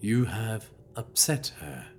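A man playing a measured, diplomatic adviser in an audio drama, speaking carefully and formally. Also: fairly low pitch, very animated, soft background music. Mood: concerned, tactful.

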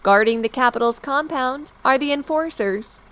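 Formal high-voiced woman president. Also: a bit bright, clean tone. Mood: official welcome. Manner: polished, formal, measured. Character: composed woman president of a dystopian government. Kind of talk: formal scripted official address.

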